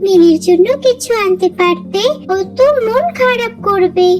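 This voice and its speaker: A woman voicing a kind, dutiful ten-year-old girl in a cartoon, gentle and polite. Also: very high-pitched, measured pace, faint music behind. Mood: gentle, earnest.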